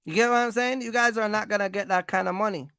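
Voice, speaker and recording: An American livestream coach with a bright, forceful voice that often breaks into creak. The delivery is emphatic and repetitive, like informal advice to followers, and the sound is dry and close. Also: mid-range voice, fairly even intonation, fast, dry recording. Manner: emphatic, repetitive, checks in with listeners. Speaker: trucking business coach and livestream host.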